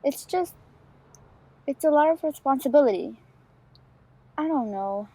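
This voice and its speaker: A woman's voice: high voice, expressive, measured pace, mellow, no echo, poor audio. A young woman playing a cautious, anxious seventeen-year-old girl in a student audio drama, hesitant and defensive.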